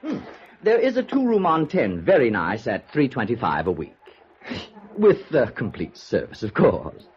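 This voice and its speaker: An actor playing a snobbish, obsequious hotel clerk in a 1950s radio drama, prissy and over-polite. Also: male voice, mid-range voice, huge pitch swings, normal speed, a little hiss or hum. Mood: flustered, fawning. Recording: muffled vintage radio recording.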